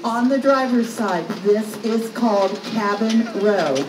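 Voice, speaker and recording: A woman tour guide speaks from some distance away, her voice carrying with a hollow echo. Loud outdoor noise from a moving tram sits on top of the recording. She narrates brightly, tosses in jokes and quizzes the riders. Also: fairly high pitch, measured pace. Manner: upbeat, jokey, quizzes riders. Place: open-air tram ride outdoors. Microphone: recorder's phone, guide some distance away.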